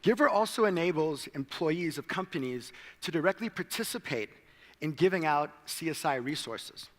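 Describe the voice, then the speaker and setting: A man's voice: a little high, expressive, moderate pace, a bit bright. American-accented man presenting in a hall. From South Africa. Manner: light self-deprecating humor. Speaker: conference speaker. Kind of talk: presentation talk. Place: large hall.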